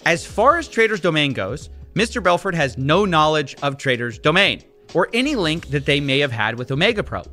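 Animated, bright-voiced man presenting. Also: mid-range voice. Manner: incredulous, emphatic. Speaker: video presenter investigating scams. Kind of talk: scripted investigative commentary. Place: small indoor studio. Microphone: close desk microphone.

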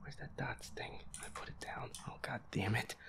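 A man's voice: mid-range voice, moderate pace, close to an everyday voice. Whispering, jittery, sweary boyfriend played by a man. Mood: scared, tense. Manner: sweary, jittery, muttering complaints under the breath. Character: feisty werewolf boyfriend, scared and grumbling while gaming. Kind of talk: boyfriend audio roleplay, improvised horror game reactions.

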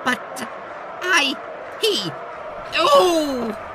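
A man's voice: extremely high pitch, big pitch swings, very slow, background noise, full character voice. A man playing a grovelling lord in a comic audio drama, obsequious to his betters and bossy to others. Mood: indignant. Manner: obsequious, switching to brash and bossy. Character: fawning, grovelling male lord, rude to commoners.

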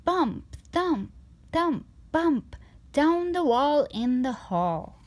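A woman reading a children's storybook aloud with expressive, rhythmic, emphatic narration. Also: high-pitched, drawn-out words, slight background noise.

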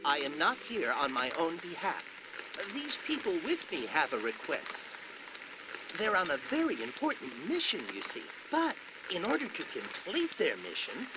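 Bossy, swooping young scholar. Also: male voice, on the high side, huge pitch swings, normal speed, very noisy. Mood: earnest. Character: eager, bossy young male scholar nicknamed Genius. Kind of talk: video game voice acting.

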